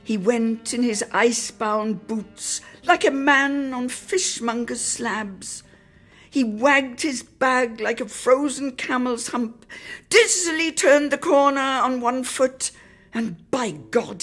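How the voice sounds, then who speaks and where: Female dramatic reader for literary memoir: highish voice, unhurried, theatrical delivery with drawn-out phrases. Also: lively intonation, a bit bright, faint music behind. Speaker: solo reader.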